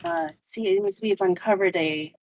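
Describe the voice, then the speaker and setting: A woman playing a courteous, formal adventurer in a tabletop game, speaking haltingly. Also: medium pitch, a little mellow, slight background noise, close to an everyday voice, poor audio. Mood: polite, reserved.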